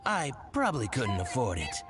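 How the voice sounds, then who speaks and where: An actor playing a genial, teasing small-time merchant in a video game, with playful, ingratiating patter. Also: male voice, mid-range voice, wildly swooping pitch, moderate pace. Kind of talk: video game character dialogue. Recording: studio voice under loud game music. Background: game music.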